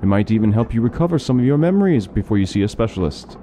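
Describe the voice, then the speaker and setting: An actor playing a learned doctor who eagerly lectures on lore in an interactive fantasy story. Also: male voice, on the low side, expressive, fast talker, dark tone, soft background music, natural voice. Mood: explaining. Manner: talkative, lecturing, fond of lore. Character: scholarly male doctor, middle-aged, chatty lore lover.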